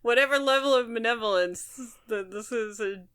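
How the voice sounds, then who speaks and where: A woman co-hosting a podcast, discussing a book casually and bluntly, thinking out loud as she goes. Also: a little high, moderate pace, smooth. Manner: informal, blunt, speculative. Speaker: podcast co-host.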